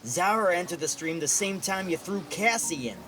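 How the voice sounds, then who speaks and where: An actor playing a brash, streetwise flying sidekick in an audio drama, cocky and loyal, full of folksy slang. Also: male voice, high-pitched, animated, normal speed, pushed and bright. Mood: worried.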